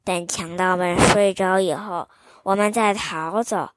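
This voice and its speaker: A woman voicing a kind old woman who warns a girl in a low, grave, hushed way. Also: steady tone, unhurried, dry recording, natural voice. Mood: grave warning. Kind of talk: children's storytelling.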